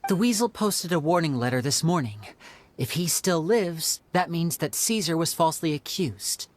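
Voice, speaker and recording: A performer voicing a charming, smooth young male magician in a video game, with a polite, persuasive manner. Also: fairly high pitch, lively intonation, normal speed, no room sound, natural voice. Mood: earnest.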